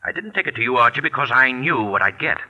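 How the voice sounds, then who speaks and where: Indignant playwright, harsh vintage radio. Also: male voice, mid-range voice, animated, fast talker, muffled recording. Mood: angry, threatening. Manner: sharp, combative, articulate. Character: indignant, wounded male playwright and newspaper columnist, vengeful. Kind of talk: 1940s radio acting. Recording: 1940s radio broadcast, husky harsh sound.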